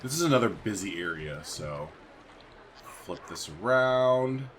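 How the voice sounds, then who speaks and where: Male let's-play host: informal, chatty, highly varied intonation, thinking aloud, slight background noise. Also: animated, unhurried, a bit bright. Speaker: gaming video host. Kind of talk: casual let's-play commentary.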